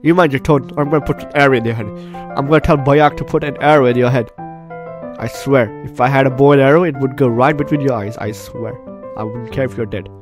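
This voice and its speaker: A man hosting a gameplay video, reading game text aloud and breaking in with expressive reactions. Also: mid-range voice, fast, over music. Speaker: gaming video host.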